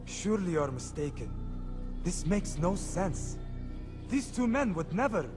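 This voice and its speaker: An actor playing a cautious, dutiful assassin in a video game cutscene, measured and earnest, with a doubtful edge. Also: male voice, sits high, sing-song, music in the background. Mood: doubtful, reproachful.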